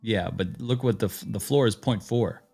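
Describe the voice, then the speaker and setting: A man's voice: lowish voice, normal speed, vocal fry, dark tone, breathy edge, soft background music. A man hosting a casual chat, laid-back and joking, easily amazed by what he is shown. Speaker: show host.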